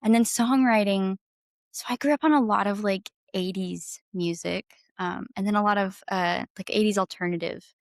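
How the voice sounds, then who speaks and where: A woman's voice: medium pitch, steady tone, normal speed, dry recording, clean studio sound. A woman talking casually and enthusiastically as a guest in an interview, effusive about her creative work. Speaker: podcast guest, singer-songwriter and audio drama creator.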